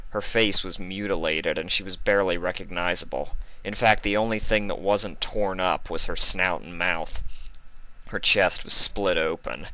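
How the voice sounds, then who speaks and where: A man's voice: low voice, bright, forceful tone, no room sound, low-quality recording. A man reading a story aloud as its narrator. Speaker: fan fiction reader and narrator.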